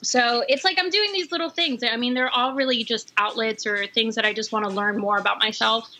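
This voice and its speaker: A woman guest chatting casually and warmly, with lots of fillers and easy laughter. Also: on the high side, fairly even intonation, fairly quick, a little hiss or hum. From New York. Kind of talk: casual conversation.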